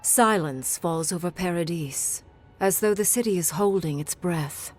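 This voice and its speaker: A woman narrating a fantasy video game epilogue as a solemn storyteller, measured and expressive. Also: lowish voice, soft background music, lightly acted. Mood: solemn, reflective. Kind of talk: scripted second-person fantasy game narration.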